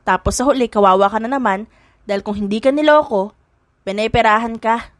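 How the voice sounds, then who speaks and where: A woman's voice: fairly high pitch, fairly quick, dry recording, lightly acted. A woman voicing a cocky, boyish young woman with a blunt, teasing manner in an audiobook drama. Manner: brash, blunt.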